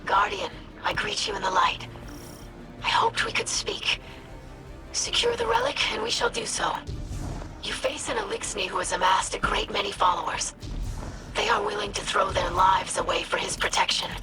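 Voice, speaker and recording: An actress playing an earnest, studious young alien in a video game, formal, precise and breathy. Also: female voice, mid-range voice, expressive, moderate pace, over music, low-quality recording. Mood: earnest, concerned. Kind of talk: video game character acting.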